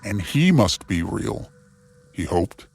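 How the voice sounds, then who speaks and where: A man narrating a scripted dramatic story in a measured, solemn manner. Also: on the low side, sing-song, creaky, a little hiss or hum. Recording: music under the voice. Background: music bed.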